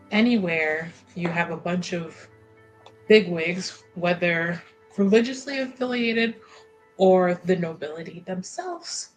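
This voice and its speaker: A woman playing a tabletop roleplaying game, thinking aloud in character in a measured, halting way. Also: lowish voice, poor audio. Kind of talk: casual improvised in-character roleplay.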